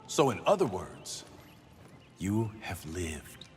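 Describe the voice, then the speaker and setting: An actor playing a worldly, wry and hopeful human refugee in a video game, with theatrical phrasing. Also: male voice, sits low, big pitch swings, takes it slowly, heavily acted. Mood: encouraging. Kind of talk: dramatic video game acting. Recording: long reverb, faint music.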